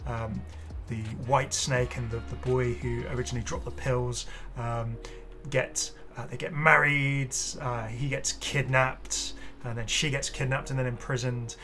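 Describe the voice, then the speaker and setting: Male gaming video host, casual and unscripted, halting and rambling, mid-pitched, faint music underneath. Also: little pitch movement, sharp tone. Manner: hesitant, rambling, apologetic asides. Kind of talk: casual off-the-cuff commentary.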